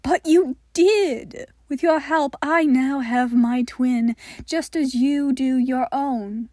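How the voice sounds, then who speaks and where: A woman's voice: high-pitched, slow, warm tone, dry recording, studio quality. A woman voicing a giddy, teasing inhuman creature in an audio story, airy and taunting. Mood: fond, pleased. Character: giddy, teasing, uncanny inhuman spiral entity named Michael.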